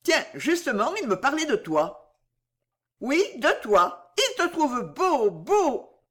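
Woman playing a coquettish young woman, high and lilting. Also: big pitch swings, slow, fairly bright tone, heavily acted. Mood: mischievous. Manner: teasing, insistent, familiar. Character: playful, coquettish young woman, teasing and ingenuous.

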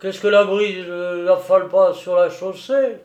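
A man's voice: sits high, fairly even intonation, a little slow, roomy sound, dull audio, pitched above the performer's own voice. A man acting a rowdy, tipsy lighthouse keeper. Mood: tipsy, merry. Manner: loud, coarse, exclamatory seaman's talk. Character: drunken, jovial, boastful old lighthouse keeper. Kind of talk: dramatised audiobook storytelling.

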